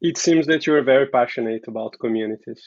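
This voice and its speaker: A man's voice: medium pitch, fast talker, no room sound. A man from Brazil with a Brazilian Portuguese accent, hosting an interview in a friendly, encouraging manner. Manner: friendly, encouraging, many back-channel murmurs. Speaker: host. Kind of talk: casual interview chat. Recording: dull dry lo-fi mic.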